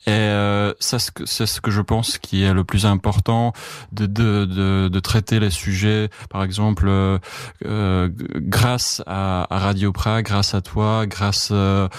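A man's voice: fairly low pitch, level tone, measured pace, smooth, no room sound, clean studio sound. A Czech-native man speaking careful, hesitant French, with many fillers and restarts as he answers interview questions. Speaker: radio journalist.

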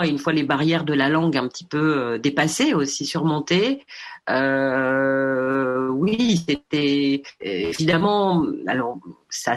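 Hesitant woman full of restarts. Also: sits low. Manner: halting, many fillers and restarts. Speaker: documentary filmmaker interviewed. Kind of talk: radio interview answer.

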